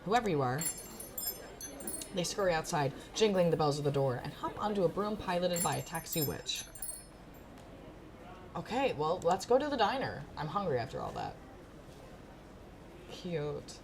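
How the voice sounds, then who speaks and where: A woman's voice: on the low side, wildly swooping pitch, normal speed, long pauses, darkish tone. A woman reading a visual novel aloud expressively, with informal, casual asides. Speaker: gaming content creator. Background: music.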